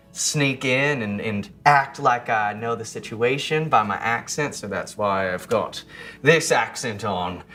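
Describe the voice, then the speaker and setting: A man's voice: medium pitch, sharp tone. A man voicing a bouncy, courteous alligator detective in a tabletop roleplaying game, chatty and eager.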